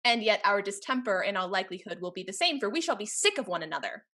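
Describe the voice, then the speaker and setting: An actress playing a capricious, teasing young society heiress in a Restoration stage comedy, with a haughty, playful, theatrical delivery. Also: female voice, mid-range voice, expressive, fast talker, bright, forceful tone. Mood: imperious, flippant.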